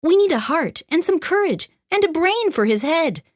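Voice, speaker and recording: Woman playing an eager, high-voiced little girl. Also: big pitch swings, normal speed, no echo, clean studio sound. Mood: eager, pleading. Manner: bright, eager, polite. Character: polite little girl, earnest and fearless.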